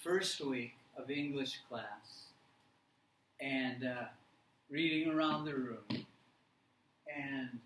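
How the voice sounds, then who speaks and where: A man presenting an interactive workshop for teachers, speaking haltingly and emphatically with frequent pauses and check questions. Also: mid-range voice, fairly bright tone. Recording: roomy, distant mic, faint noise.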